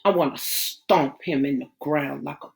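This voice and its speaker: A woman voicing a bitter, betrayed young man who speaks in a low, brooding, clipped way. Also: measured pace, vocal fry, heavily acted.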